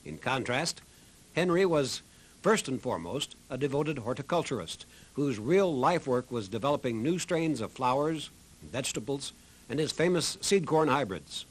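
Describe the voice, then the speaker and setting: A man reading scripted documentary narration in a measured, earnest manner. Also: medium pitch, lively intonation, breathy edge, low-quality recording.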